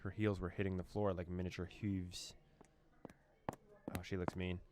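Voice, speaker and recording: A young man with a low, deep voice reads a horror visual novel aloud to his viewers. He breaks off from the text now and then with quick reactions and short laughs. A faint hum of game sound sits behind him. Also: little pitch movement. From former USSR. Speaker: let's play host.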